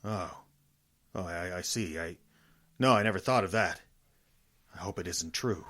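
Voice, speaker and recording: Man acting a bright-voiced, low-pitched wealthy older father. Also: measured pace, heavily acted. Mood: troubled. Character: wealthy 68-year-old father, suspicious of his parasitic sons. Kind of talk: radio drama acting.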